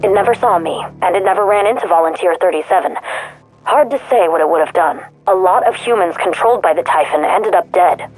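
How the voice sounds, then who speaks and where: A skeptical woman gives her blunt judgment in a game scene. Her voice is husky and wary, with faint music in the background. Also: fairly low pitch, a little fast, a little mellow, poor audio. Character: wary, skeptical woman judging a test subject. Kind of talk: video game cutscene dialogue.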